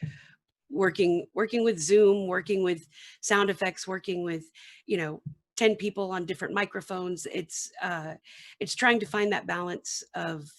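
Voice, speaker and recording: A woman with a slightly low voice speaks casually on a group video call. She sounds like a crew member explaining things in an informal panel discussion. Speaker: radio play cast and technical crew member.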